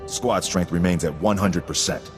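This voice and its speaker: A man's voice: low voice, fairly even intonation, moderate pace, natural voice. An actor playing a stoic, dutiful male veteran sergeant in a video game, speaking in a clipped, formal military way. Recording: reverberant hall, music behind.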